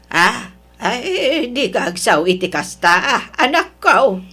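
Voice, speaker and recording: A woman plays a patient, soothing older mother in an Ilocano drama. She speaks slowly in a husky voice. Her melody swings up and down. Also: a little high, big pitch swings, sharp tone, heavily acted. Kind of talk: Ilocano audio drama acting.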